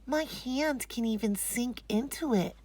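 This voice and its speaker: A woman voicing an excitable little girl full of wonder in a children's storybook, exclaiming and drawing out her words. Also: on the high side, measured pace, pitched above the performer's own voice. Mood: wide-eyed wonder.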